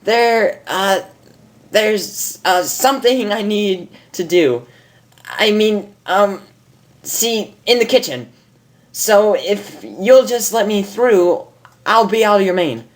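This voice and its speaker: A woman's voice: mid-range voice, lively intonation, slow pace, pitched above the performer's own voice. A woman voicing a brash, impatient young female pegasus from a cartoon, blurting and hesitating as she goes. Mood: flustered, pleading.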